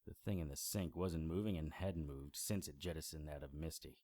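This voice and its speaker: A wry male investigator tells his case like a noir audio drama. His voice is low and dark, recorded dry, with a deadpan delivery that stays unimpressed by the strange. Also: a little fast, dry recording. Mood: grim, wry. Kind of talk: first-person detective audio drama narration.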